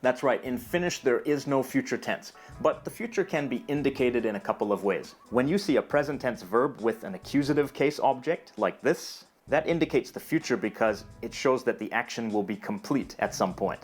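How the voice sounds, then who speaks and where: A man narrating a scripted educational video in a calm, even, explanatory way. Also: medium pitch, normal speed, few pauses, slightly rough, faint music behind.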